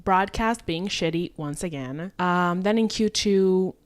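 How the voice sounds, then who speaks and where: A woman's voice: lowish voice, a little slow. A woman with a German-like accent giving a casual, chatty, opinionated recap as a motorsport vlogger.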